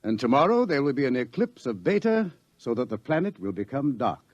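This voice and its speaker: An actor playing an authoritative middle-aged scientist who lectures deliberately and ominously about coming doom, in an old radio drama style. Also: male voice, medium pitch, sing-song, dry recording. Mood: grave, ominous. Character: authoritative older male scientist explaining impending doom. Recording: dull lo-fi old radio recording.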